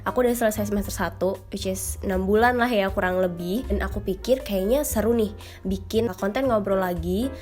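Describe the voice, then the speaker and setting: A woman's voice: mid-range voice, brisk, a little mellow, soft background music. A young woman chatting casually to her viewers, her voice nasal from a cold, often dropping English phrases into her talk. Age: young adult. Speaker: vlogger. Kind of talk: casual chat to camera.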